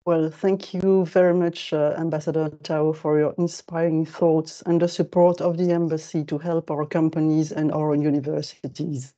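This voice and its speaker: A woman's voice: deep voice, level tone, measured pace, few pauses, mellow. A woman moderating a webinar, thanking speakers and giving polished introductions. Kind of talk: formal moderation. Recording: muffled lo-fi video call audio.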